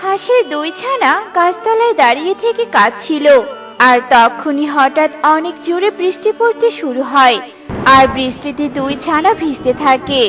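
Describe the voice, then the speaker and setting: A woman's voice: high-pitched, expressive, moderate pace, a bit bright, soft background music. A woman narrating a scripted children's cartoon story.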